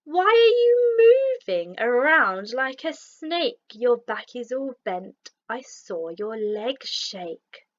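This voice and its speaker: Woman as a curious, blunt storybook python, unhurried. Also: on the high side, big pitch swings, highs cut off. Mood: puzzled.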